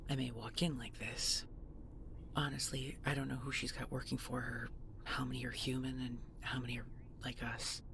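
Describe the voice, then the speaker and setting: A woman playing a protective, devoted supernatural girlfriend in an intimate audio roleplay, breathy and halting, often near a whisper. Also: a bass voice, level tone, noisy background, full character voice. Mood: grave, hesitant.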